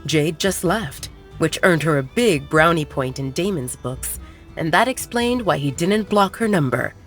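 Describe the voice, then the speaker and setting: A woman's voice: deep voice, lively intonation, unhurried. A woman narrating a scripted romance audiobook in a dramatic storytelling style. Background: faint background music.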